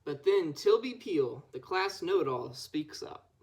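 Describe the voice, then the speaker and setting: A young female Scout reading a children's picture book aloud, with expressive storytelling. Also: sits low, measured pace. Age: child or teenager. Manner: expressive storytelling with acted dialogue. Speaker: Scout volunteer reader.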